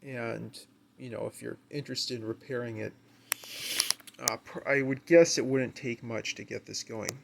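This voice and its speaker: A man's voice: medium pitch, moderate pace, gravelly, a little hiss or hum. A man giving a casual, unscripted demonstration at home, musing and a little hesitant as he thinks aloud. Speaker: amateur video maker demonstrating equipment. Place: carpeted room at home, indoors.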